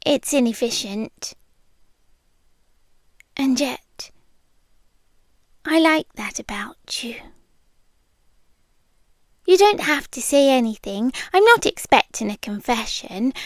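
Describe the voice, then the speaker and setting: High, soft British monster girl, acted by a woman. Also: no room sound, clean studio sound. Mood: tender, reluctant.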